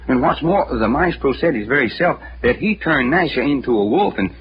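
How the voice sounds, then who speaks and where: An actor playing an excitable, blunt sidekick with a folksy, questioning manner in 1940s radio style. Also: male voice, mid-range voice, a little fast, natural voice. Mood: eager, puzzled. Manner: folksy, colloquial, eager questioning. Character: Doc, folksy, excitable, blunt male cowboy-type sidekick. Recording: noisy, muffled old-time radio recording.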